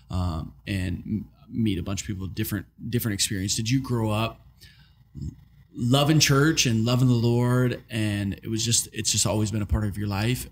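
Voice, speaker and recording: Gravelly, husky podcast host. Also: male voice, lowish voice. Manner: rambling, eager questions with many likes. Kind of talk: casual interview talk.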